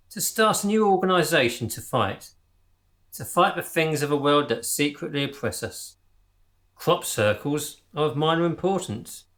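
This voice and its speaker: A man's voice: on the high side, fairly bright tone. A man acting in a radio drama as a group leader turned earnest, preachy conspiracy zealot.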